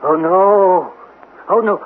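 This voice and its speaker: A man's voice: high-pitched, slow. An actor playing a suspicious, eccentric, nervous male neighbour who talks evasively and repeats himself in a radio drama. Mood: agitated, secretive. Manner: evasive, repetitive, dramatic storytelling. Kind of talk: 1970s radio drama acting. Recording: tinny band-limited old radio recording, hiss.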